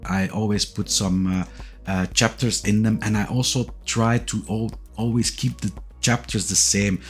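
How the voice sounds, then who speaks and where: A man's voice: on the low side, unhurried. A man in his forties, a native Dutch speaker with a Dutch accent, talking casually and hesitantly with lots of fillers as he introduces his review channel. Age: 40s. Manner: relaxed, hesitant, many "uh" and "yeah" fillers and repeated words. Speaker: YouTube reviewer of sim racing gear. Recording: faint music bed behind the voice.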